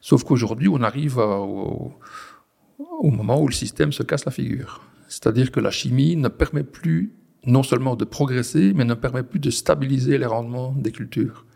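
Forties Belgian farmer from the Ardennes, gravelly voice, hesitant, restarts often. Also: male voice, medium pitch, normal speed, mellow. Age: 40s. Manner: hesitant, many restarts and fillers. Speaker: farmer guest. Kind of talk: conversational interview. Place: on a farm.

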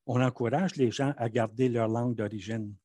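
A Canadian French man speaks frankly and with emphasis, his voice a little husky. The sound is dry and close, with no room around it. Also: mid-range voice, fairly even intonation, normal speed, dark tone, dry recording. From Manitoba, Canada. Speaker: interviewee. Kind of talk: interview answers.

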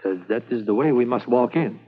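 An actor playing a calm leading a raid, in 1950s radio drama, speaking in quiet, measured commands. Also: male voice, medium pitch, over loud music, close to an everyday voice. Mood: hushed. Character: adult male French-Canadian Mountie corporal, calm leader. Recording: muffled old radio broadcast.

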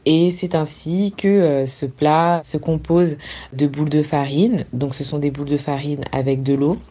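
A woman sharing a family recipe story in a hesitant way, with many filler pauses. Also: deep voice, steady tone, dark tone, breathy edge.

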